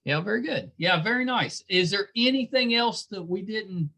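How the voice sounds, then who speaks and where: A middle-aged man with a slightly higher-pitched voice hosts a casual interview. He speaks conversationally and offers his guests encouragement and compliments as he goes. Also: expressive, moderate pace, vocal fry, sharp tone. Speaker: podcast host interviewing a band.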